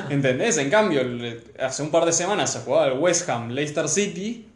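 A man's voice: a little high, lively intonation, a little slow. A young man with an Argentine Rioplatense accent chatting casually and colloquially about sport, giving blunt opinions. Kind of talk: casual sports chat with friends. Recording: roomy, echoey room.

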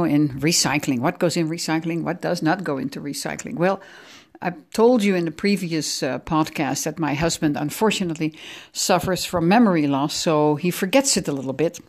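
A middle-aged woman from the Netherlands with a Dutch accent, hosting her own podcast in a chatty, rambling way, sore from aching ribs. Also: on the low side. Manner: chatty, rambling, self-correcting. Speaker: podcast host. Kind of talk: casual solo podcast monologue.

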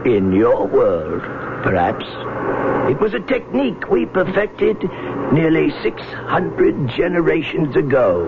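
An actor playing the imperious male ruler of an undersea civilisation, pompous, formal and deliberate, in a radio drama. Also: on the high side, big pitch swings, full character voice. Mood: haughty, commanding. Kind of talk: 1970s radio drama acting. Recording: tinny, noisy old radio recording.

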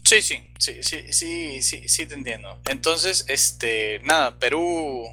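Bright-voiced Venezuelan-born man chatting. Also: fairly high pitch, expressive, slow pace, faint music behind. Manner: rambling, many fillers and restarts. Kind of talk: casual chat.

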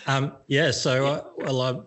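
A middle-aged Australian man chatting casually as a guest, relaxed and self-deprecating, often restarting his sentences. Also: medium pitch, a little slow. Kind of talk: casual conversation.

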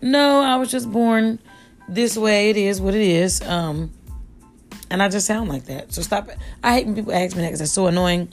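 A woman with a raspy voice hosting her own podcast from home, rambling casually and full of slang. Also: mid-range voice, normal speed, faint music behind. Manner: slangy, chatty, addresses listeners as y'all. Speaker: podcast host.

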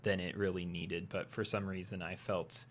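Man, low voice, podcast co-host, casual game chat, hesitant, restarts often. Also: level tone, moderate pace, slight background noise. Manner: hesitant, many restarts and fillers, laughs easily. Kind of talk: casual chat about video games.

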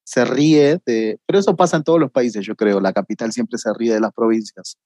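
A man's voice: medium pitch, normal speed, mellow, no echo. A man from Argentina with an Argentine accent, chatting casually as a guest, with many fillers. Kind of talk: casual conversation.

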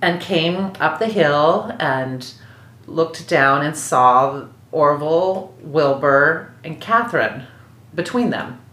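An American woman tells a personal story for an interview in a very low-pitched voice. The room around her adds a clear echo. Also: lively intonation, takes it slowly, fairly bright tone. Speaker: opera librettist, interview guest. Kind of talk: anecdotal interview recollection. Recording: roomy, echoing interview sound.

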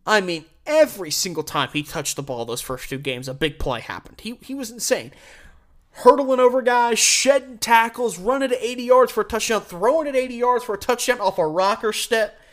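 A man's voice: high voice, expressive, brisk, fairly bright tone. An American man hosting a sports show, delivering an opinionated solo commentary in an emphatic, conversational way. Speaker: sports podcast and radio host.

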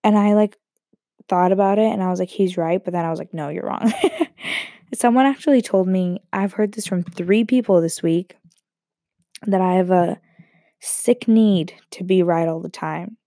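A woman's voice: fairly low pitch, normal speed, no room sound, clean studio sound. A young woman telling a personal story in a rambling, joking way. She is fighting a cold, so her voice sounds throaty. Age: young adult. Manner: animated, self-interrupting, joking asides.

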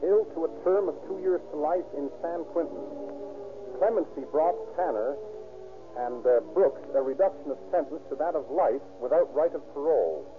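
A man's voice: fairly high pitch, expressive, normal speed. A man giving a stiff, formal, scripted address as a police captain on 1930s radio. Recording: tinny old-time radio recording. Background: music.

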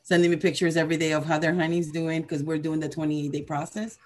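Expressive woman in her forties, chatting casually. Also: low-pitched, fast. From Venezuela, then New Jersey from age eleven. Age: 40s. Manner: expressive, repeats words, acts out quotes. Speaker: podcast guest, herbalist teacher. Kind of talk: casual conversational storytelling.

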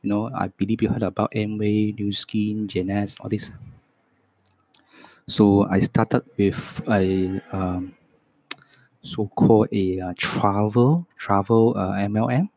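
A man in his fifties speaks English with a Hong Kong Cantonese accent, his first language being Cantonese. He talks very slowly and softly in a low voice, stumbling through repeated words and fillers. The sound is dry and close. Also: steady tone, dry recording. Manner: slow, soft, halting with many fillers and repeats. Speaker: podcast host. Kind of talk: reading a self-introduction script.

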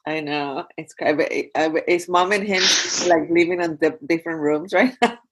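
A woman in her thirties chats casually, chiming in and acting out bits of what was said. Her voice is slightly low and a little husky. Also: normal speed. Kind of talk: casual chat.

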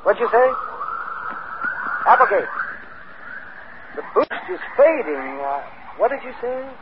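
A man's voice: extremely high pitch, big pitch swings, slow pace, exaggerated voice. Dazed spaceman on tinny radio. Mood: dazed, forlorn. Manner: vague, rambling, drifting. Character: dazed, rambling spaceman adrift and dying. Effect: radio filter. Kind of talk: 1950s radio drama acting. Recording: tinny, noisy old radio transmission.

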